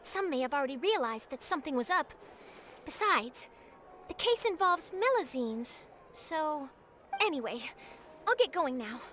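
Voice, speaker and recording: A woman's voice: high-pitched, measured pace, a little hiss or hum, full character voice. A woman voicing an eager young female reporter in a video game, perky, chatty and persuasive. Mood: confiding. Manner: animated, chatty, confiding. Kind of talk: animated video game voice acting.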